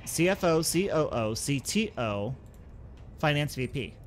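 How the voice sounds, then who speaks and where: A man's voice: on the high side, big pitch swings, measured pace, slight background noise. A man gives casual let's-play commentary as a gaming host, thinking aloud and talking through each step.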